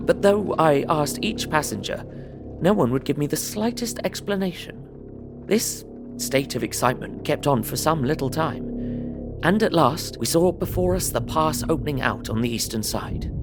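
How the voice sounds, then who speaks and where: An actor playing a young, earnest traveller, narrating his diary in a measured, uneasy way. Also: on the high side, animated, music in the background. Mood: tense, expectant. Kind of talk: dramatised first-person diary narration.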